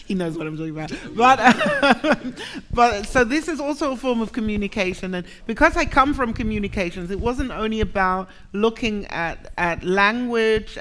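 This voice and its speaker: A middle-aged woman from the Caribbean who grew up in Germany, speaking as a professor on a lecture panel in a reflective, animated way, laughing easily. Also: medium pitch. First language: English, Caribbean variety. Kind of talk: panel discussion.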